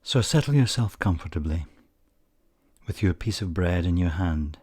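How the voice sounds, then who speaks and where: A man leading a guided meditation in a calm, measured way with frequent pauses, his husky voice softening at the ends of phrases. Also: low voice. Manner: calm, measured, pausing often. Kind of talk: slow guided meditation narration.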